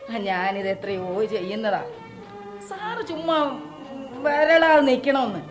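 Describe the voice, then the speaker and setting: A brisk coaxing back-room abortionist. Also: female voice, on the high side, big pitch swings, music in the background. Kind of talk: 1970s radio drama acting.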